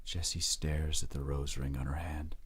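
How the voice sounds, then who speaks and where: A man narrating an audio drama in a grave, measured, dramatic storytelling manner. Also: rumbling low, little pitch movement, slightly rough.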